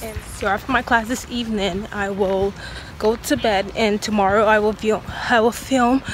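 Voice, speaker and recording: A young woman in her twenties, an international student, vlogging casually into a phone held at arm's length, chatty and upbeat with lots of fillers, her voice hoarse from a cold. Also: medium pitch, fairly even intonation, normal speed, noisy background. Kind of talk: casual vlog narration. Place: outdoors on campus, phone in hand. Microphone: phone camera at arm's length.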